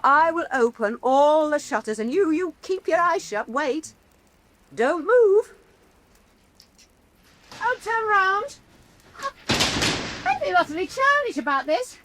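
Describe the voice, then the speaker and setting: A woman's voice: very high voice, a bit bright, dry recording, heavily acted. An actress playing a proud, mercurial woman painter who talks in a grand, cutting and theatrical way. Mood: exhilarated. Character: imperious, mercurial woman painter who scorns her critics. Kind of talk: radio drama acting.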